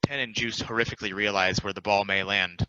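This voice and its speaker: A man's voice: lowish voice, fairly even intonation, fairly quick, bright, forceful tone, clear, resonant tone, no echo, muffled recording. A man reading out stage directions as scripted narration for a play.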